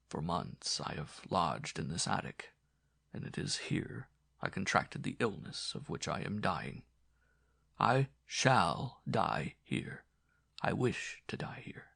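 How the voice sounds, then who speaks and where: A man voicing a dying old former prosecutor who confesses his guilt in a grave, halting, formal way. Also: on the low side, vocal fry, a bit bright, husky, dry recording, clean studio sound, full character voice. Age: older.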